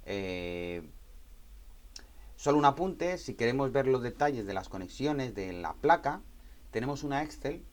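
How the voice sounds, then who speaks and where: A man's voice: medium pitch, lively intonation, unhurried, long pauses. A man giving an informal hands-on technical tutorial, explaining step by step and often repeating and rephrasing as he goes. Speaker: tutorial presenter.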